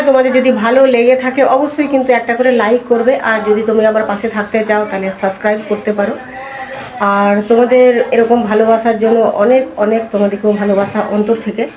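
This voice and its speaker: Indian bengali lady doing a chatty home vlog, highish voice, noisy echoey room, says stuff twice. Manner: chatty, repeats phrases. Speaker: family vlogger. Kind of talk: casual home vlog chat. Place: indoors at home. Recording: roomy echo, loud household background noise.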